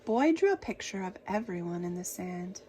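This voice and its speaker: A woman reading a children's picture book aloud in an expressive, dramatic storytelling manner. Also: on the low side, moderate pace, slight background noise.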